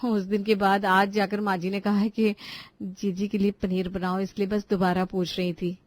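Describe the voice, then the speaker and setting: A woman's voice: medium pitch, level tone, moderate pace, dry recording, close to an everyday voice. A woman voicing an outspoken young daughter-in-law in a cartoon family drama, needling others with mock innocence and sarcasm. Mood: mock innocent. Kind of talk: animated family drama voice acting in Hindi.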